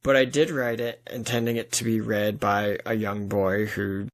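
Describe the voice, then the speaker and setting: A man's voice: lowish voice, little pitch movement, normal speed. A man speaking as a guest author in a casual interview, with an earnest, enthusiastic and informal manner.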